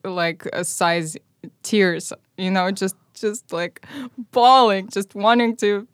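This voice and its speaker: A woman in her thirties whose first language is Russian, a violinist guest talking casually, animated, laughing often and dropping into whispered asides. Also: mid-range voice, slow, smooth, dry recording, clean studio sound. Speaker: guest musician. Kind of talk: casual podcast chat. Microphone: headphones and studio mic.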